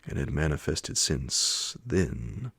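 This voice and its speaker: Very deep, gravelly male ASMR narrator. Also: sing-song, no echo. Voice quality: gravelly, breathy, partly whispered. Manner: hushed, slow, deliberate phrasing. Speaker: narrator reading classic horror fiction aloud. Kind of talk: ASMR audiobook bedtime story narration. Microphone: close, dry microphone.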